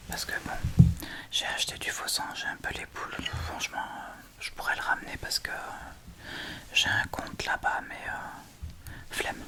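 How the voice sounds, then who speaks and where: Whispering woman doing ASMR. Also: unhurried. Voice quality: soft breathy whisper. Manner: informal, chatty, thinking aloud. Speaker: ASMR video host. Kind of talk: whispered ASMR makeup tutorial, casual.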